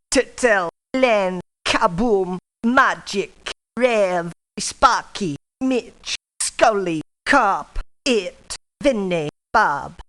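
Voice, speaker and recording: A woman's voice: mid-range voice, fairly bright tone. An actress playing a female mercenary in a video game, calling out names in a clipped way, one word at a time.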